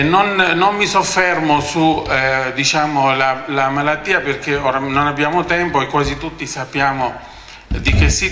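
A man's voice: fairly high pitch, pushed and bright. A man, a doctor, giving an emphatic talk at a conference, gesturing and speaking into a handheld mic. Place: large conference hall, standing by a screen. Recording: echoey hall with faint ambience.

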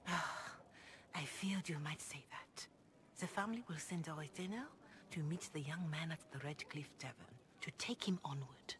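A woman voicing a devout, compassionate older cleric in a video game, speaking in a formal, measured, earnest way. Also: low voice, fairly bright tone. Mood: earnest, pleading. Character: grave, devout, compassionate older Chantry mother. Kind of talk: video game dialogue acting. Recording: clear background noise and ambience.

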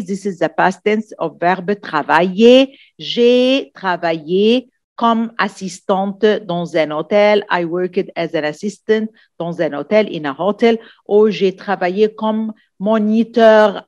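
Female language tutor, unhurried, repeats key phrases over a screen-share lesson. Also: medium pitch. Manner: repetitive, checks in with "d'accord?" often. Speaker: French teacher. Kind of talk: exam-prep lesson explaining in English and French. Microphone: voice-over on screen recording.

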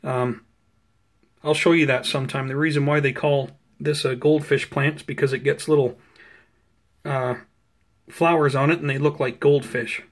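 A man's voice: medium pitch, steady tone, normal speed, long pauses. Casual, conversational man narrating a plant update. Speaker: host of a plant-growing video channel. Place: indoors at home beside potted seedlings.

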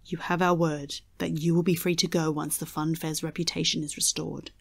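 A woman's voice: low-pitched, flat delivery, normal speed, gravelly, husky, heavily acted. A woman acting as a solemn funfair fortune-teller in an audio drama, speaking in an earnest, dramatic storytelling manner. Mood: assured.

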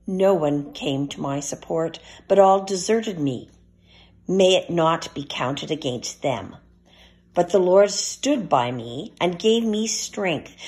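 Lower-voiced, slightly husky woman reading scripture. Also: darkish tone. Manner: measured, formal. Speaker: scripture reader. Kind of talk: reading scripture aloud in a church service.

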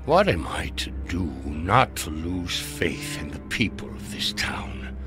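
Stern old gunslinger preacher, solemn, declamatory, unhurried, music behind, video game drama. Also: male voice, sits low, big pitch swings, exaggerated voice. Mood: solemn, troubled prayer. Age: older. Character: stern, righteous old gunslinger turned preacher, quick to anger.

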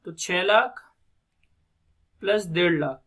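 A man's voice: high voice, lively intonation, slow pace, pushed and bright, slightly rough. A man in his thirties with a Pakistani accent lecturing on accounting, methodically repeating key phrases and mixing in English finance terms. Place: indoors, recorded screen lecture at a desk.

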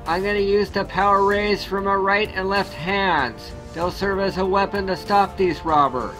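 A man playing a confident flying superhero in a homemade story, speaking loudly and emphatically. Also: sits high, fairly even intonation, moderate pace, close to an everyday voice, poor audio. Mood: triumphant. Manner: loud, emphatic heroic declarations. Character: heroic flying male superhero, brash and confident. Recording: music playing behind.

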